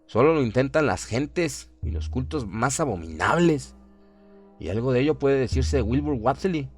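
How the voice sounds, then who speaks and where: Un hombre que interpreta a un erudito grave que advierte con solemnidad y mesura sobre un mal cósmico, en una radionovela de terror. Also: male voice, mid-range voice, no echo, lightly acted. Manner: measured, solemn, emphatic. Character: grave learned man warning villagers of cosmic evil. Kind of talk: horror radio drama acting.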